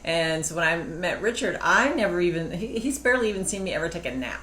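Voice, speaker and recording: A woman's voice: lowish voice, expressive, quick pace, a bit bright, slight background noise. A middle-aged woman co-hosting a casual talk about marriage, telling stories and acting out what people said. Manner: chatty storytelling, acting out quotes. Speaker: co-host. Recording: echoey room with faint ambience.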